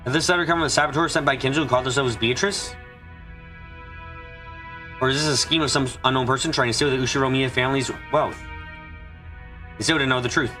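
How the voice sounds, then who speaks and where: Fast-talking man narrating a visual novel. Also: medium pitch, pushed and bright, soft background music. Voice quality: slightly congested, mushy diction from a cold. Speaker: let's play streamer reading a visual novel. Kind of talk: dramatic narration of a story game.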